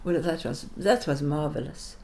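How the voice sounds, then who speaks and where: An older woman from Sweden, a native Latvian speaker, answering interview questions reflectively in halting English as she searches for words. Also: deep voice, vocal fry, a little mellow, a little hiss or hum. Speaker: interview guest.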